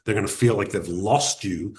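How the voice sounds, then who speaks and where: A man teaching a podcasting workshop in a conversational way, speaking deliberately, using pauses and sometimes restarting his sentences. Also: on the low side, a little mellow. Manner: addresses audience directly with practical advice.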